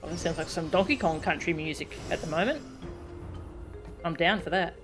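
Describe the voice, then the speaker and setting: A woman giving casual, expressive live commentary as she streams a game, reacting with playful indignation. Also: on the low side, moderate pace. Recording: game music behind the voice. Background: game music and character voice lines.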